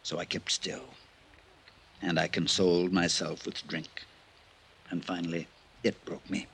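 An actor playing a harried, middle-aged village doctor, a remorseful drinker, husky and halting in an old time radio drama. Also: male voice, deep voice, flat delivery, fairly bright tone, heavily acted. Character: harried, worn-out village doctor under sixty, remorseful drunk.

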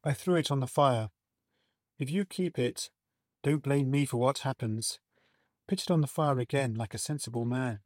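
Guy doing a gruff serious army sergeant major for a story, middle aged, solemn, mid pitch, dry sound. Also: no room sound, lightly acted. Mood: stern warning. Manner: grave, slow, solemn warnings. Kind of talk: dramatised storybook character reading.

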